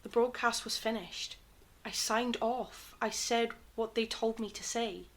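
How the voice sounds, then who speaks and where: Female presenter, acted for audio drama: shaken, clipped delivery, higher-pitched voice. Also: steady tone, unhurried, fairly bright tone. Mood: unsettled, disbelieving.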